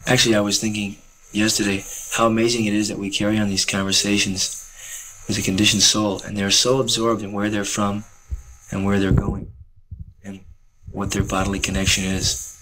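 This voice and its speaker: A young man speaking earnestly and calmly about selling books to travelers, with faint hiss from old film audio. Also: fairly low pitch, a little fast, poor audio. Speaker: book distributor. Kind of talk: reflective commentary over footage.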